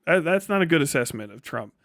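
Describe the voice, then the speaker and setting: A man's voice: a little high, very animated, quick pace, vocal fry, a bit bright, no room sound, studio quality. A man hosting a livestream with casual, unscripted commentary, talking bluntly and opinionatedly with heavy swearing as he responds to chat. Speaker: livestream host reading and answering chat.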